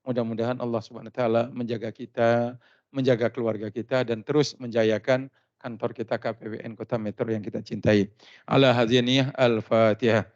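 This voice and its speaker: A male preacher from Lampung, Indonesia, giving a religious talk with lively anecdotes and frequent laughter. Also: medium pitch, a little fast. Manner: lively storytelling, laughs often. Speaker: Islamic preacher. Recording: dull lo-fi sound.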